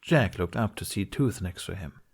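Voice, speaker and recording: A man's voice: low voice, expressive, moderate pace, gravelly, breathy edge. A man reading a fantasy story aloud as a scripted audiobook-style narration. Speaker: podfic narrator reading fan fiction.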